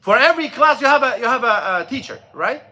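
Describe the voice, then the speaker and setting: Bright-voiced man telling a story. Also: very high voice, expressive. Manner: storytelling, halting with many fillers and restarts. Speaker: imam. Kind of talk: mosque class talk. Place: mosque classroom.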